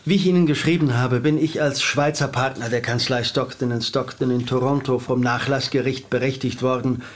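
Casting: male lawyer for radio drama, mid-pitched, measured and officious, courteous, exact with legal phrasing played by a man. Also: steady tone, natural voice. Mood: formal.

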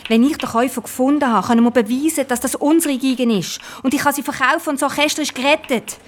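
An actress with a Swiss accent playing a stubborn, impulsive violinist in a naturalistic radio play, insistent in manner. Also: female voice, high-pitched, fairly even intonation, fast. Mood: determined.

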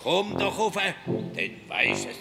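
An actor playing a playful, eccentric, forgetful forest spirit in a children's radio play, with a Swiss German accent and a singsong, exclamatory manner. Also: male voice, high voice, big pitch swings, slow, creaky, crowd noise, exaggerated voice. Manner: singsong, exclamatory, prone to nonsense syllables.

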